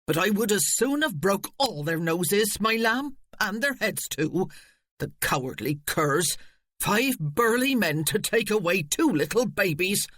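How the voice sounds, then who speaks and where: A narrator voicing a fierce, blunt old housekeeper with a rough, combative way of talking. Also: mid-range voice, lively intonation, moderate pace, few pauses, a bit bright, no echo, studio quality, voice pushed up. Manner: rough, combative, plain-spoken.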